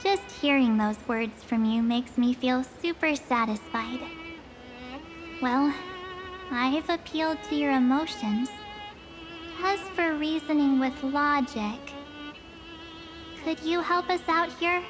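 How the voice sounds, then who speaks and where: A woman playing a cheerful, sentimental young girl in a video game story, speaking softly and earnestly. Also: high voice, takes it slowly, pauses often, rough recording. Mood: pleased, playful. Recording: music behind the voice.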